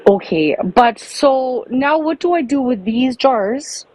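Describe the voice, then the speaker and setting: Overly polite, halting woman prank caller. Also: sits high, lively intonation, a little mellow, no echo, rough recording. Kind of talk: prank phone call.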